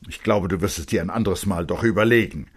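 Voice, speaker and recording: Guy doing a strict angry dad in an audiobook, husky voice, hard and scolding. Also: sits low, quick pace. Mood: relenting, stern. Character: tall stern angry father, gruff and authoritative.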